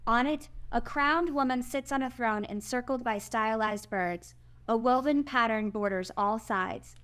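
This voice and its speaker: A woman's voice: fairly high pitch, measured pace, a bit bright, clear, resonant tone. A woman reading out screenplay stage directions as the narrator at a table read.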